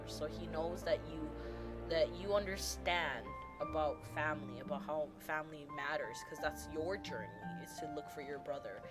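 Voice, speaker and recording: A woman streaming a game, rambling and gushing with emotion and some swearing. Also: sits low. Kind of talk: excited gaming stream commentary. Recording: stream with loud game music behind.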